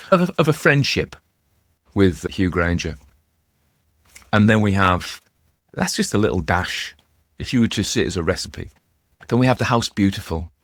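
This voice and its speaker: A man's voice: sits low, dry recording, studio quality. A man giving rambling, informal literary commentary as a host, musing and breaking off his own sentences, with wry asides. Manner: musing, self-interrupting, conversational. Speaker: host and narrator of ghost story readings.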